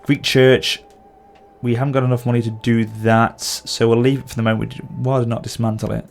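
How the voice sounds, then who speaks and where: A man's voice: medium pitch, level tone, fairly quick, vocal fry. A man with a sore throat and occasional cough, casually commenting as he plays a strategy game. He thinks aloud and corrects himself as he goes. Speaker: gameplay channel host.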